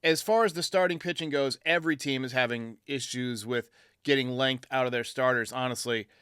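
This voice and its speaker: A man's voice: mid-range voice, a little fast, a bit bright, clean tone, dry recording, clean studio sound. A man hosting a baseball fan show, giving his commentary in answer to callers. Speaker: sports show host.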